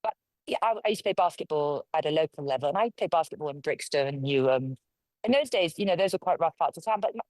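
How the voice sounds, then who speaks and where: A woman's voice: lowish voice, darkish tone, no echo, low-quality recording. A woman from London, a local councillor, giving forthright, conversational answers as an interview guest. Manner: forthright, conversational, speaks her mind.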